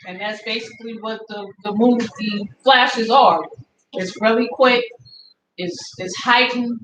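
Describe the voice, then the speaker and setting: Woman guest, calm and explanatory, echoey room with clear background noise. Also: on the high side, a little slow. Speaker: guest co-host. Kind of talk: casual explanation.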